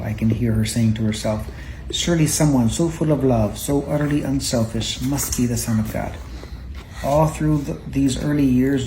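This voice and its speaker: A parent reading a children's Bible story aloud at home, gently and a little haltingly, repeating words now and then. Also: mid-range voice. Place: indoors at home. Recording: home recording with faint background noise.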